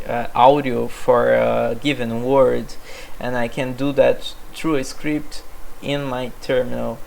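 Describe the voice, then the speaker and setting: Slow, hesitant Brazilian-born man with gravelly voice. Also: medium pitch, slight background noise, rough recording. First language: Portuguese. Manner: hesitant, many fillers and restarts. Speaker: software developer. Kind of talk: self-presentation monologue.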